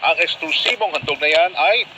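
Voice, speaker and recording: Filipino radio man, slightly high-pitched. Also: animated, moderate pace. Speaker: radio announcer. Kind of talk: conversational live-read ad. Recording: noisy AM radio aircheck.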